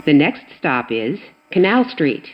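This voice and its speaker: A woman reading scripted automated subway announcements as the train announcer, in measured, clearly segmented phrases. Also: sing-song. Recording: muffled, noisy recording inside subway train. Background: train rumble and ambience.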